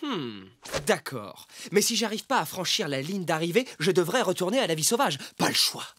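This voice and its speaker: Grumbly old cartoon fox, melodramatic, feels sorry for himself, music playing behind. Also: male voice, high voice, big pitch swings, moderate pace, a bit bright, heavily acted. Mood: solemn. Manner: grumbling, theatrically resigned old-timer. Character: aging male fox, melodramatic, self-pitying, grumbling. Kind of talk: French animated cartoon dialogue.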